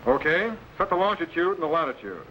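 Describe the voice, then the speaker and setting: Male space-time explorer: calm authority, crisp measured orders, bright tone, faint hiss of an old serial. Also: a little high, rough recording. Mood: commanding, precise. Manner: instructive, precise, measured commands. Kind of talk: 1950s science fiction serial acting.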